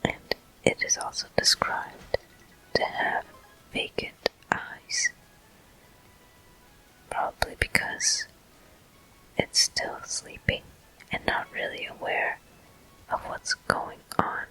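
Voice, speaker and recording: A whispering game host giving soft, deliberate ASMR commentary with frequent pauses, close to the microphone. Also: poor audio. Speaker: host of gameplay walkthrough.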